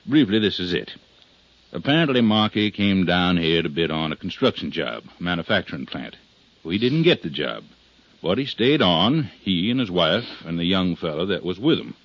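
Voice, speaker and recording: An actor playing a cautious, dry American deputy investigator in a 1950s radio drama, with a measured, folksy manner. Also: male voice, deep voice, very animated, no room sound, heavily acted. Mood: matter-of-fact. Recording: dull 1950s radio recording.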